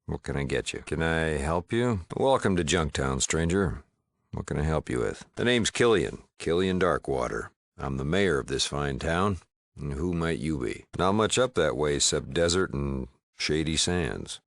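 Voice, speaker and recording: Gruff small-town frontier mayor and shopkeeper for a game: American, bottom-of-the-range bass, no-nonsense, folksy, close dry sound. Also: male voice, expressive, moderate pace, no echo. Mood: dry, welcoming. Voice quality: deep, gravelly. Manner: folksy drawl, wry, no-nonsense. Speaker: video game character. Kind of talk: video game dialogue acting.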